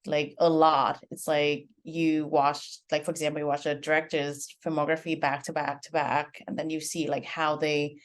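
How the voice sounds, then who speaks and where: A woman's voice: deep voice, level tone, normal speed, few pauses. A woman in her twenties from Vietnam, speaking with a Vietnamese accent, chatting casually as a guest director in an interview and sprinkling her talk with "like". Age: 20s. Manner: chatty, leans heavily on "like".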